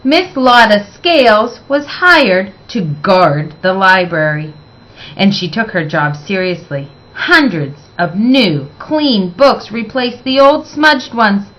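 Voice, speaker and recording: A woman reading a picture book aloud in an expressive, deliberate way, stressing words for young listeners. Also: medium pitch, rough recording. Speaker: children's story reader.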